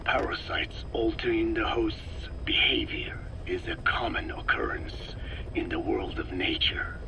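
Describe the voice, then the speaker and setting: An actor playing a cold, older male scientist who lectures slowly in a gravelly whisper, on a game audio tape. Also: fairly low pitch, poor audio. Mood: clinical. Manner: slow, lecturing, measured. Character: cold elderly male parasite scientist, calm and clinical. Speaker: scientist explaining parasites. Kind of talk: game audio log acting.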